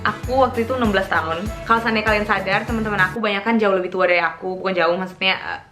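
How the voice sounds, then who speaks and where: A woman's voice: mid-range voice, racing. Young woman, casual Q&A vlog, laughs a lot, background music. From Indonesia. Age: young adult. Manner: chatty, mixes English into her speech, laughs often. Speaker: vlogger.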